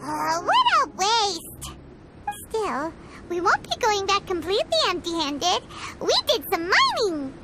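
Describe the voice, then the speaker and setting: A woman's voice: big pitch swings, unhurried, over loud music, full character voice. A woman voicing a small, chatty, childlike fairy companion in a video game, with a chirpy, exclamatory delivery. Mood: grumbling. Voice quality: squeaky, childlike. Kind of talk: video game character acting.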